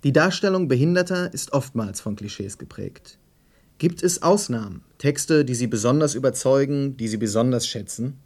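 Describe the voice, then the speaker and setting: A man working as interviewer and presenter, reading scripted commentary and questions in a formal, measured, literary manner. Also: mid-range voice, expressive, a little mellow. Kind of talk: scripted radio feature narration and questions.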